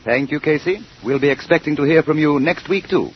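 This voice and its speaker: A man's voice: a little high, brisk. An American man announcing a scripted program in the style of early 1930s radio. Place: radio studio. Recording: noisy, muffled early 1930s radio broadcast.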